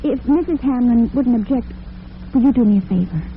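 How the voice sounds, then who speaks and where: A woman's voice: a little high, expressive, brisk. An actress playing a timid, troubled young working woman in an old-time radio drama, hesitant and earnest. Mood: hesitant, pleading. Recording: muffled, noisy old radio recording.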